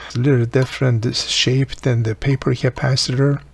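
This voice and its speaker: Gravelly, husky man explaining step by step. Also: medium pitch, flat delivery, moderate pace, no room sound. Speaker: host of a radio repair channel. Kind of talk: instructional video narration.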